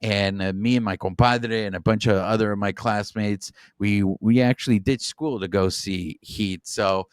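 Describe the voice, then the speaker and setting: A man's voice: lowish voice, moderate pace, hardly any pauses, clean tone, no room sound. A man in his forties, a native Spanish speaker, co-hosting a podcast in playful, hyped-up casual chat. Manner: lively, playful hype. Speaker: podcast co-host.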